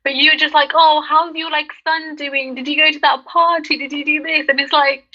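A young woman talks in a chatty, animated way, slipping into little acted-out bits of talk. Her voice sits a bit high and sounds bright and sharp. The recording is dull and lo-fi. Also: normal speed. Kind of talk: casual chat.

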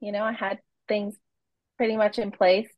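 A woman's voice: mid-range voice, level tone, normal speed, no echo, lo-fi sound. A Canadian woman in her forties, a home organizing coach, talking in a friendly, conversational way as an interview guest. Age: 40s.

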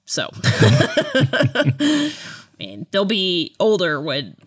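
A woman's voice: fairly low pitch, huge pitch swings, very slow, no echo, clean studio sound. A woman in her thirties chatting casually as a podcast co-host, with a chatty, self-deprecating manner. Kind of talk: casual chat.

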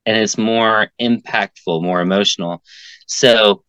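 Guest: low, smooth male voice, rambling and chatty, lots of fillers. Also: fairly even intonation, measured pace, a bit bright, dry recording, poor audio. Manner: talkative, frequent "um" and "like". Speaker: interview guest, filmmaker and actor. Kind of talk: casual conversation.

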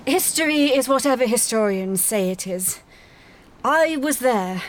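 An actress playing an ancient, dying alien great-grandmother in a sci-fi audio drama, grave and serene, with a deliberate delivery. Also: a little high, lively intonation. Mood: solemn, bitter. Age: older. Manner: slow, deliberate, solemn.

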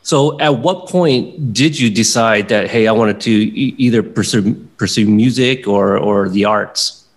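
A man's voice: lowish voice, measured pace. A man in his late thirties hosting a casual interview, asking friendly, rambling questions. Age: 30s. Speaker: podcast host.

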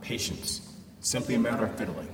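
A man's voice: mid-range voice, brisk, creaky, close to an everyday voice. A man playing a patient, dry henchman villain in a radio drama. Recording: echoey hall, distant mic, noisy.

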